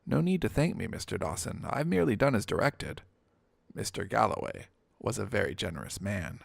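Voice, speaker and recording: Polite estate lawyer for a storybook read by a man, formal and measured, gravelly, dry studio sound. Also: fairly low pitch, big pitch swings, no echo, heavily acted. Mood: deferential. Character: courteous, formal male lawyer serving a wealthy estate. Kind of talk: audiobook character dialogue.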